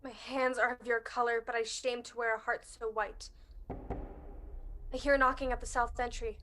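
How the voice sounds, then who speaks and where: A woman's voice: fairly high pitch, flat delivery, normal speed, pushed and bright, a little hiss or hum. A young woman playing a steely, scornful noblewoman who commands her partner in murder, in emphatic amateur Shakespearean verse. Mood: brisk, controlled. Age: young adult. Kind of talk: amateur student Shakespeare performance.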